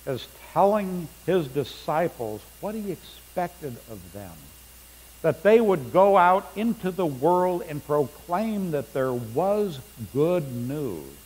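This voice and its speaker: A man's voice: on the high side, lively intonation, slow, a little mellow, breathy edge. A middle-aged male pastor preaching a sermon in a church sanctuary, reading scripture aloud with rising emphasis. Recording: long church reverb, faint hum.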